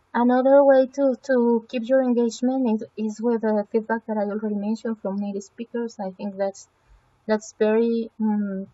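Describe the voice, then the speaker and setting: A woman's voice: a little high, warm tone, lo-fi sound. A woman whose first language is Spanish presents a website evaluation, talking through it hesitantly with many fillers and self-corrections. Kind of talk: semi-scripted spoken evaluation.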